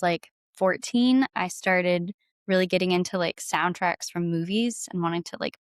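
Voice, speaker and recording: Female, 20s, podcast guest. Slightly low pitch, dry close sound, gushing casual delivery. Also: dry recording. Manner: bubbly, gushing, frequent "like". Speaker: guest singer-songwriter and violinist. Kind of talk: casual interview chat.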